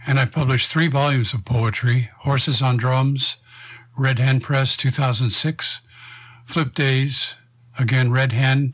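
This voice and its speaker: A man, a poet, reflectively talking about his poem and reading it aloud in a measured way. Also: medium pitch, fairly bright tone.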